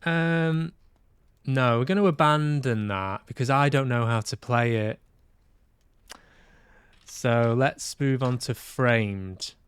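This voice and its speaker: A man's voice: mid-range voice, slow pace, long pauses, clean tone, dry recording. A man chatting casually as he hosts a livestream, hesitant and musing aloud to himself. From Manchester, UK. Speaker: livestream host. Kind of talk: casual livestream chat while playing games.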